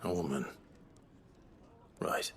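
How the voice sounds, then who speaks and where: A man's voice: takes it slowly, noisy background, natural voice. A man playing an earnest young fantasy hero in a video game, brooding, reserved and terse. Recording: loud music behind the voice. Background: loud game music.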